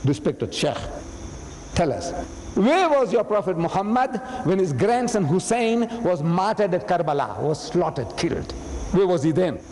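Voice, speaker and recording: A man's voice: high voice, sing-song, a little slow, darkish tone, big-room sound, slight background noise, heavily acted, rough recording. A man putting on a pushy, taunting clergyman. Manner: badgering, insistent questioning.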